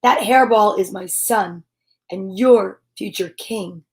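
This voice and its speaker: Woman as stern, slow-spoken lion king. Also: medium pitch, slightly rough. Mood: stern warning.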